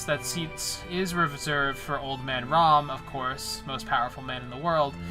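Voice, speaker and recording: A man's voice: a little high, poor audio. A young man hosting a casual, joking anime debate, rambling and restarting as he talks. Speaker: channel host.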